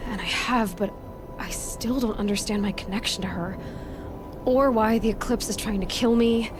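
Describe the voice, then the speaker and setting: A woman's voice: fairly high pitch, normal speed, over music, lightly acted. An actress playing a stubborn, questioning young female adventurer in a video game, earnest with a sardonic edge. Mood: weary, searching. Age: young adult. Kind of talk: dramatic video game acting.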